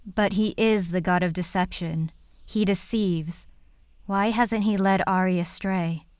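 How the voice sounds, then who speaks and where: Actress as doubting adventurer, lowish female pitch, clean smooth tone, plain-spoken, fantasy drama. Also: steady tone, a little slow, a little mellow, no echo, studio quality, close to an everyday voice. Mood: doubtful. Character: female traveling companion, blunt and skeptical. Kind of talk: fantasy audio drama acting.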